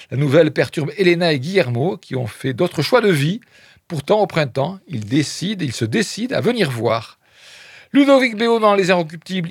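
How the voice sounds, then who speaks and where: A man's voice: sits high, slightly rough, no echo, studio quality. A man presenting film reviews on the radio, reading press quotes and adding wry, hesitant asides full of fillers.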